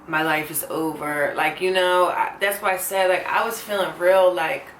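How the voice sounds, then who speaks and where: A woman's voice: on the low side, fairly even intonation, normal speed, fairly bright tone, echoey room, slight background noise, poor audio. A woman in her twenties talking candidly to her camera, earnest and reflective, often saying "like" and "you know.". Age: 20s. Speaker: vlogger. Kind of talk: candid personal talk to camera. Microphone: camera mic.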